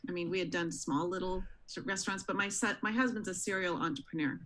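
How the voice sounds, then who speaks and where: A woman's voice: lowish voice, fast talker, fairly bright tone. A woman from Tanzania, raised in Canada, a restaurant owner telling her story in a friendly, conversational interview. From born in Tanzania, raised in Canada. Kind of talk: casual interview chat.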